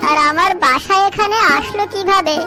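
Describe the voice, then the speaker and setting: A woman's voice: extremely high pitch, normal speed. A woman voicing a worried, trusting mother duck in a children's cartoon, plaintive and exclamatory. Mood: distraught. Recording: music behind the voice. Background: music.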